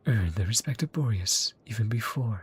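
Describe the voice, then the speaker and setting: A woman's voice: a bass voice, expressive, moderate pace. A young adult woman streamer giving chatty reaction commentary, humming along and reading lines aloud. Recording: game music playing behind.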